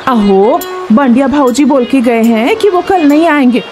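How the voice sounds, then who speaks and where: A woman voicing a fisherman's gently nagging young wife in a cartoon, exclamatory and wheedling, with a Marathi flavour. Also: high-pitched, lively intonation, measured pace. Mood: informing. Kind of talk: animated moral-story cartoon dialogue. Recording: music bed under the voice.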